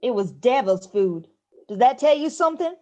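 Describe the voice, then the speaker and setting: A woman's voice: fairly high pitch, lively intonation, moderate pace, dark tone, natural voice. A woman playing a sour, sarcastic ghost mother-in-law in an amateur radio play. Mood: sour, grudging. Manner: dry, grudging, sarcastic. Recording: slightly dull, lo-fi audio.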